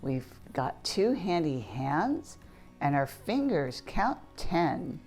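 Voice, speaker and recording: Woman, children's read-aloud storyteller: low voice with animated swings, unhurried delivery, playful and interactive, music behind. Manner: playful, asks listeners questions and points things out. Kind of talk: read-aloud picture book narration for young children.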